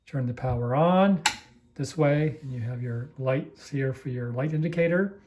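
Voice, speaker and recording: A man casually demonstrating equipment off the cuff, explaining it in a halting, apologetic, easygoing way as he handles it. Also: mid-range voice, lo-fi sound, noisy background. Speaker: amateur reel-to-reel demonstrator. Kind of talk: casual unscripted product walkthrough.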